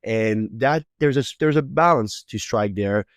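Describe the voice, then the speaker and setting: A man's voice: lowish voice, unhurried, dry recording, clean studio sound. A man from Quebec whose first language is French, speaking English with a Québécois French accent as a podcast host, often repeating words as he builds his thoughts. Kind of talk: reflective interview talk.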